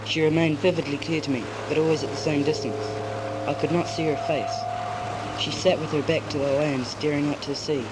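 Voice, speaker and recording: A man's voice: a little high, level tone, fairly quick, natural voice. A teenage boy playing a weary, solitary man who tells his story in scripted first-person narration on a noisy amateur cassette recording. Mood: dreamy, reflective. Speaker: narrator and lead in a school drama.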